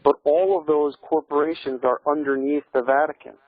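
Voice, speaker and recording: A man's voice: on the high side, fairly even intonation, a little fast, no echo. A man taking part in a conference call by phone, speaking in a challenging, argumentative way. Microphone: telephone. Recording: thin, tinny phone line.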